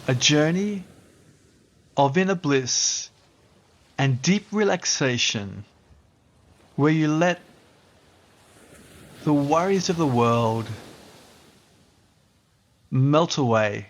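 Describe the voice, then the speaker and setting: A man leading a guided meditation as a teacher, speaking gently and unhurriedly in short phrases with pauses. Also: fairly high pitch, animated.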